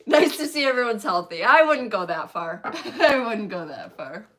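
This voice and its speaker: A woman's voice: mid-range voice, sing-song, moderate pace, bright, forceful tone. A woman co-hosting a casual live stream from a phone, chatting and laughing as she greets viewers. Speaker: co-host of a stitching live stream. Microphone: phone camera.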